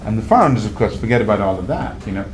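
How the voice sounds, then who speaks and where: Low-voiced man telling a panel story. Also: animated, rapid-fire. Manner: conversational, anecdotal, frequent restarts. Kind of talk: panel talk. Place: event venue. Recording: noisy live event recording.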